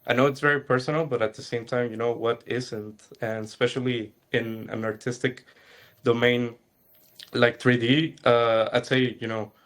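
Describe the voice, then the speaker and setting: A man's voice: medium pitch, little pitch movement, normal speed, a bit bright. Easygoing young man, thoughtful. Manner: thoughtful, hesitant, many fillers and restarts. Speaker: student answering audience questions. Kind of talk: webinar Q&A answers.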